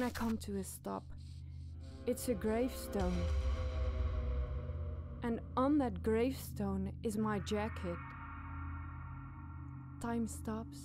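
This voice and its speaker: A woman podcast host delivering dramatic scripted storytelling. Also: a little high. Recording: clear background night ambience noise.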